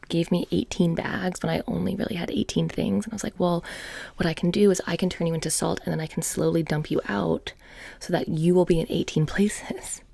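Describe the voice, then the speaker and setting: A soft-spoken woman doing close, whispery ASMR roleplay as a witch shopkeeper, with a casual, halting, deadpan menace. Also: fairly low pitch, gravelly, slightly rough.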